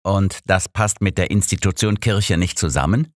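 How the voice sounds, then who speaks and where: A man voicing a brisk, impatient, probing elderly detective in an audiobook. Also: deep voice, no room sound, clean studio sound, voice pushed up, lightly acted.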